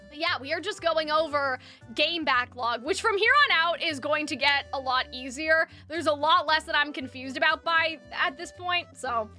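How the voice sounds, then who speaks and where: A woman's voice: high-pitched, normal speed, sharp tone, faint music behind. A young adult woman streamer in casual chat, rambling with fillers and restarts, her voice dry from lack of water.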